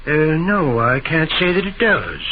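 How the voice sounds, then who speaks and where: A man playing a polite, evasive businessman suspect in an old-time radio mystery, genteel, hesitant and measured. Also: on the high side, sing-song. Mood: polite, guarded. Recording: dull, noisy old radio broadcast recording.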